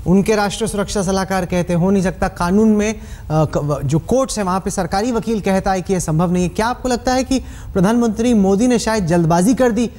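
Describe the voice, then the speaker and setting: A woman presents a news interview in a dark, mellow voice. She frames issues like a broadcast anchor and puts probing questions to her guest. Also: medium pitch, brisk.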